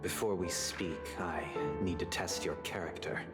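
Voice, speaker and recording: A man playing a composed, coldly polite authority figure in a video game, measured, formal and lofty, with long reverb on the voice. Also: low-pitched, fairly even intonation, over loud music.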